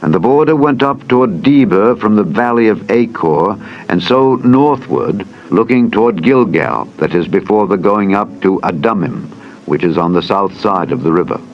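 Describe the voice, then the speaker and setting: A man reading scripture as a narrator in a solemn, measured, formal manner, as in a dramatized audio Bible. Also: fairly low pitch, animated. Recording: dull lo-fi audio with music behind. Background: background music.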